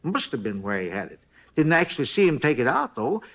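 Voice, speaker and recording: Brief: folksy night clerk witness, gravelly, lively sing-song delivery, slightly high. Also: male voice, a little fast. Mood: chatty. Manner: rambling, plain ungrammatical speech. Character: folksy talkative male hotel night clerk. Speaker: robbery witness. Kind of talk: 1950s radio drama acting.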